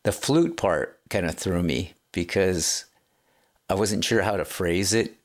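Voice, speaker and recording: A man hosting a casual interview, rambling and self-deprecating, with many halting fillers. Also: low voice. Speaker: host and songwriter.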